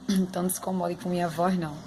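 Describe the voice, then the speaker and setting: A young woman vlogging about her son's time in hospital, slightly hoarse, talking to her viewers in a heartfelt, chatty way. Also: fairly low pitch, fairly even intonation, fast talker, a little mellow, slight background noise. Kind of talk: emotional personal vlog.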